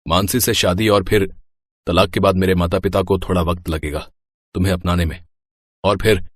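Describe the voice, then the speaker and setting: Casting: male actor as persuasive husband in a moral story, very deep husky voice, earnest and reassuring. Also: no echo, clean studio sound. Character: earnest-sounding deceitful husband, soft-pleading young man. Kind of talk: acted Hindi audio story dialogue.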